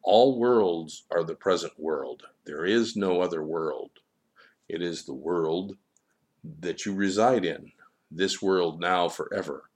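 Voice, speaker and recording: Man as a stern, wise child, low-voiced. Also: slow. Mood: solemn, admonishing. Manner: stern, declarative, repetitive, solemn. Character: stern, wise, all-knowing boy child, calmly admonishing. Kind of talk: storybook character dialogue.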